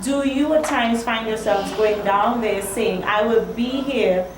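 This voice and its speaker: A woman co-hosts a talk show, putting a long question in a measured way. The room is echoey and carries clear background noise. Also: on the high side.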